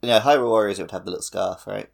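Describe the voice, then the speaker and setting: A man's voice: on the low side, rapid-fire, gravelly. A man chatting casually about a video game as a podcast co-host.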